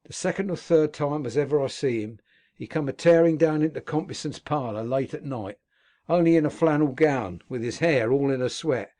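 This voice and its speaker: A man playing a gruff old convict. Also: medium pitch, moderate pace, mellow, natural voice. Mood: grim recounting. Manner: earthy dialect speech, gruff storytelling. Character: rough old male convict telling his past. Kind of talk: audiobook character reading.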